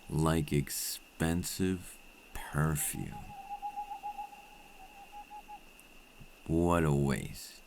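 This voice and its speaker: A man acting as a cold, possessive vampire captor in an ASMR roleplay, speaking with deliberate, condescending menace and dropping into breathy murmurs. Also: rumbling low, noisy background, exaggerated voice. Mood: dark, savoring.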